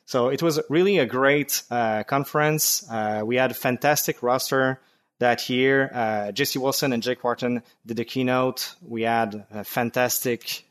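A man with a French Canadian accent talks as a podcast guest, speaking at a middling pitch in a thoughtful, unhurried way. He pauses often on "uh" while he sorts his thoughts. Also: fairly even intonation. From Quebec, Canada. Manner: thoughtful, frequent "uh" fillers. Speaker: guest developer. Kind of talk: casual podcast interview.

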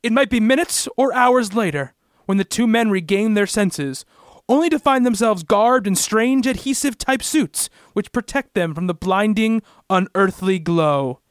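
A man's voice: sits high, lively intonation, moderate pace, dry recording, studio quality. A man narrating a comic-book radio play in a melodramatic, suspenseful scripted style. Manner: dramatic, suspenseful.

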